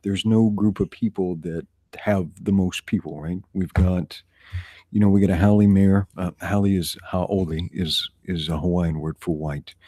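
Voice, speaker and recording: A man in his forties from the rural American South, chatting casually in a rambling, animated way and laughing often. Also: low voice, normal speed, no echo. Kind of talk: casual chat.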